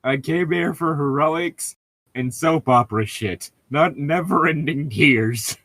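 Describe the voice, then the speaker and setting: A man's voice: on the high side, slow, fairly bright tone, no room sound. A man co-hosting a comedic read-aloud, bantering casually with sarcastic, joking, profane commentary. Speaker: co-host reading and mocking fan fiction.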